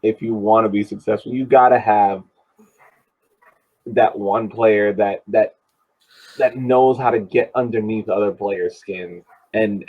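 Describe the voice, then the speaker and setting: A man co-hosting a sports podcast, giving casual soccer analysis in a halting way, repeating phrases for emphasis, on slightly dull audio. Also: lowish voice, slightly rough.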